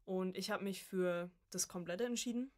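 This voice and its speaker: A woman's voice: mid-range voice, normal speed, darkish tone. A woman in her early twenties chatting informally as a podcast guest, telling her story in a casual way. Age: early 20s. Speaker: podcast guest, voice acting student. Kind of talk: casual conversational interview.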